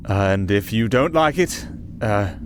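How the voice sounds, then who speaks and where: A man's voice: fairly low pitch, expressive, takes it slowly. An actor playing a wry, melancholy castaway sailor and singer in an ASMR roleplay, hesitant and dryly sardonic in his chatty talk. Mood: reluctant, wry. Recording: clearly audible ambient noise under voice.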